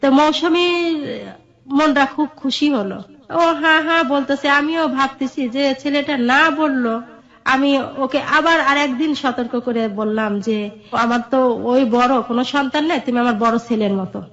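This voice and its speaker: Middle-aged woman, Bangladeshi accent, high voice. Earnest personal storyteller in interview, lo-fi sound. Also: fairly even intonation, moderate pace, fairly bright tone. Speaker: guest telling her life story. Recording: dull, muffled lo-fi audio.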